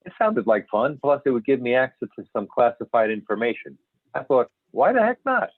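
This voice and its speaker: A man's voice: mid-range voice, sing-song, a little fast, no echo. A man playing an older, folksy and candid astronomer turned UFO investigator, telling his story in an amateur readers theater over a call. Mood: candid, wry. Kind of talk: amateur readers theater, scripted interview reminiscence. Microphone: phone or videoconference call. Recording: band-limited phone-like conference call audio.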